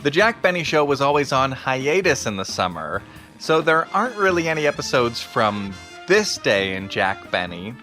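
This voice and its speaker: Male podcast host, forward bright tone, scripted introduction over faint music. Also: mid-range voice, very animated, normal speed.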